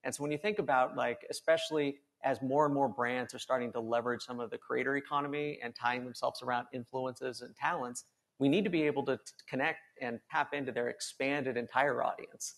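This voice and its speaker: A man speaking on a conference panel, quick and enthusiastic, often repeating words as he thinks. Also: mid-range voice, fairly even intonation, fairly bright tone. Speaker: panel speaker, company executive.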